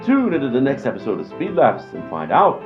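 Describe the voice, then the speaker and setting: Animated mock-dramatic male radio announcer. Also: medium pitch, brisk. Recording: muffled lo-fi sound, music behind.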